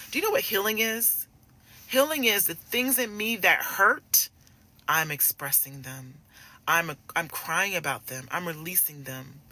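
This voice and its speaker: Bright-voiced woman coach, emphatic. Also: on the low side. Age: 40s. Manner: emphatic, repeats phrases for emphasis; direct, familiar address to listeners. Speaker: relationship coach addressing women. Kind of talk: casual motivational live chat.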